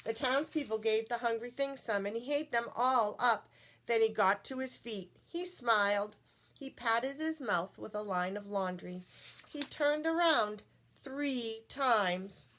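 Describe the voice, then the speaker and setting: Woman reading a storybook, slow and expressive. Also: a little high, slight background noise. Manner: expressive, addresses children, puts on character voices. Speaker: storybook reader. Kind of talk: animated children's read-aloud.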